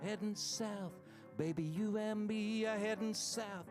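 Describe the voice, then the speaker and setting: A woman's voice: medium pitch, steady tone, unhurried. A woman singing folk and blues songs live on a coffee house stage, with soulful, storytelling vocals. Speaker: folk singer. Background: acoustic guitar.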